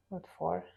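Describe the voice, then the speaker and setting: A woman from Hungary with a Hungarian accent, speaking English as a second language in a casual interview, thoughtful and halting with frequent restarts. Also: warm tone. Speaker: interview guest.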